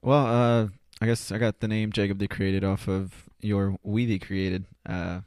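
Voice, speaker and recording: A man in his twenties with a low, flat voice talks as a podcast guest. He is casual and halting, circling back over his words in a self-effacing way, in a dry home studio. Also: clear, resonant tone, no room sound. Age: 20s. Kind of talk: casual, unscripted interview answers. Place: converted garage home studio.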